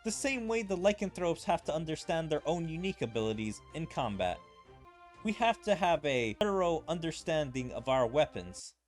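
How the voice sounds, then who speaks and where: A man's voice: a little high, big pitch swings, normal speed, soft background music. A man streaming a game, reading its dialogue aloud in a theatrical way and adding his own commentary. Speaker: gaming streamer.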